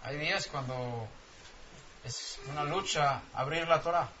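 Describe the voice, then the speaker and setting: A man whose first language is Swedish delivers a sermon with emphatic repetition and questions put to his listeners. His voice is bright and forceful and sits a little high. The recording is dull and lo-fi, with faint ambience behind him. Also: a little slow, a little hiss or hum. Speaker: preacher.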